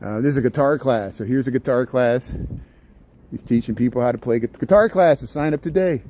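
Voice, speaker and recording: A man's voice: mid-range voice, fairly quick, warm tone. A man in his forties from near Cincinnati, with a slight Cincinnati-Kentucky twang, giving a rambling, chatty walking-tour commentary on a city street at night and hamming it up for viewers. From near Cincinnati, US Midwest. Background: faint street and traffic noise.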